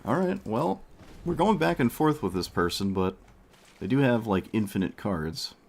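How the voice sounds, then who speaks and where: A man's voice: fairly low pitch, animated, moderate pace, vocal fry, darkish tone, slight background noise. A male streamer losing his voice, thinking aloud as he plays a card game. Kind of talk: casual gameplay commentary.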